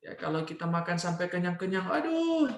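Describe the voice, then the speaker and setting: A man with a Manado Indonesian accent gives a religious health talk as a doctor, emphatic, slowing on key phrases and often adding small check-in words. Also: high-pitched, very animated. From Manado, North Sulawesi, Indonesia. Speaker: doctor giving a health testimony. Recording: roomy, echoing sound.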